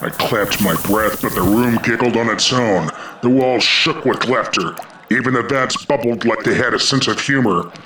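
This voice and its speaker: A man playing a grim, world-weary dragon detective, terse and deadpan, in a noir radio play. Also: mid-range voice, moderate pace, close to an everyday voice. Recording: echoey, noisy background.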